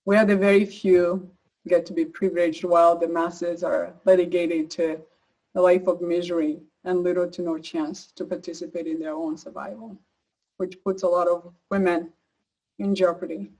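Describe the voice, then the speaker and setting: A woman's voice: on the low side, level tone, normal speed, a little mellow, rough recording. A woman from Uganda with an East African accent gives a prepared personal speech as an advocate, speaking earnestly.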